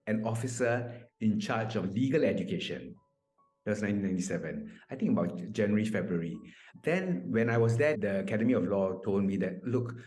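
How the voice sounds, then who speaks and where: Low-voiced man in an echoey room. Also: a little mellow. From Malaysia. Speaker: interview guest, mediator. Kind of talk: conversational interview answers. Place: small indoor room. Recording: echoey room on video call.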